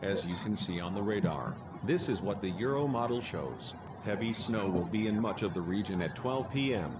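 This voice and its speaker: A man's voice: on the low side, animated, moderate pace, natural voice. A man playing a TV weather forecaster, giving a plain, informative scripted forecast. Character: TV weather forecaster warning of a coming blizzard. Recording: noisy amateur mic, outdoor-like hum.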